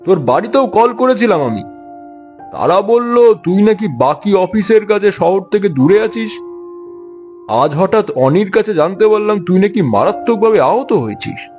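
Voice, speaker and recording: A man's voice: sits high, big pitch swings, normal speed, darkish tone, soft background music. A man playing an anxious, caring friend who questions urgently, in a dramatized audio story. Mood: worried, urgent. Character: anxious, caring male friend at a hospital bedside.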